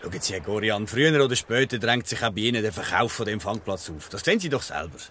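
A man with a Swiss German accent playing a smooth-talking, calculating fishing company boss in a radio play. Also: on the low side, animated, quick pace, fairly bright tone. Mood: persuasive, condescending. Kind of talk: dialect radio play acting.

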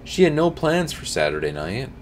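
A young man reading a scary story aloud at home, tripping over words now and then and adding quiet asides. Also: medium pitch, very animated, normal speed, slight background noise. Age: young adult. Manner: reads with slips and restarts, drops to quiet asides. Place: home streaming room, indoors.

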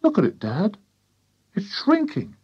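Man voicing a high-voiced, earnest little boy. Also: wildly swooping pitch, unhurried, breathy edge, no room sound, dull audio, heavily acted. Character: eager, earnest young farm boy. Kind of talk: children's audiobook character voice.

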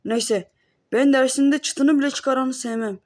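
A woman's voice: sits high, fairly even intonation, unhurried, a little mellow. A woman voicing a stern cartoon schoolteacher who lectures and scolds the class. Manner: bossy, lecturing.

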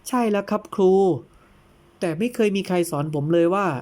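A woman's voice: mid-range voice, normal speed, warm tone, close to an everyday voice. A woman playing a respectful, puzzled young male student in an audio tale, speaking in a deferential, questioning way. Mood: earnest. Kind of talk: dramatized teaching dialogue in an audio tale.

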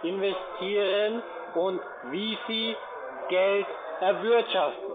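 A man working as a call centre agent, giving a casual sales pitch over the telephone. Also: steady tone, slow pace. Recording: phone line, noisy call centre. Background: loud music and crowd chatter.

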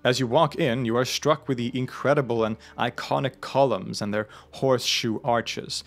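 Guy doing calm documentary narration on history, mid pitch, measured and clear. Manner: measured, informative, with the odd aside. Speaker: host of a religion history channel.

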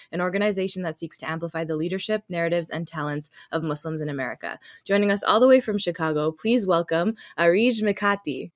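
A woman's voice: fairly low pitch, dry recording, studio quality. Young woman hosting a video call show. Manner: friendly, loose questions with fillers. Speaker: host. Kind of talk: introducing a guest.

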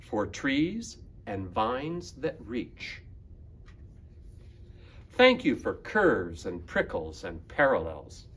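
Male school librarian, fifties, slow and animated storytime delivery for young listeners. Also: mid-range voice, breathy edge. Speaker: school librarian, guest reader. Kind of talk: picture book read-aloud for children. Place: school library room, indoors.

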